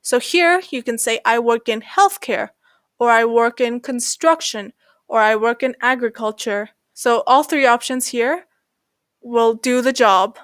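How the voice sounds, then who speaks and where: A woman narrating an English lesson as the channel host, slowing down to read example sentences. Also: fairly high pitch, a bit bright, no room sound, studio quality. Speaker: English teacher.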